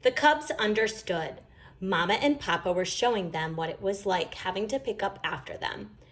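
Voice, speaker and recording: A woman reading a children's story aloud in an expressive, emphatic storytelling manner. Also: mid-range voice, moderate pace. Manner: animated, emphatic. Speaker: storybook reader.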